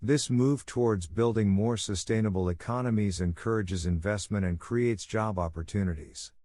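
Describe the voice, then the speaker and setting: A man reading scripted practice passages aloud in a measured, formal manner. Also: lowish voice, darkish tone, no echo, clean studio sound. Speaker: narrator of exam practice passages. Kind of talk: scripted read-aloud narration.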